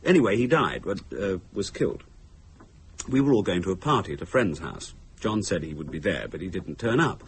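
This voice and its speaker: An actor playing a wry, articulate young British husband who teases morbidly in a radio thriller. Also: male voice, sits low, big pitch swings, a little fast, slight background noise. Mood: sombre recollection. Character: sardonic, teasing young British husband, educated and morbidly playful. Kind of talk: radio thriller drama dialogue.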